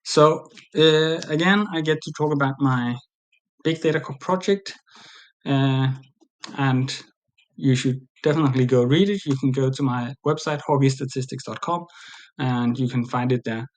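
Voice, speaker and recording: A man's voice: mid-range voice, normal speed. A man in his thirties with a Danish accent gives a recorded conference talk from a small room at his desk, speaking plainly and hesitantly, with many fillers. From Denmark. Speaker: presenter.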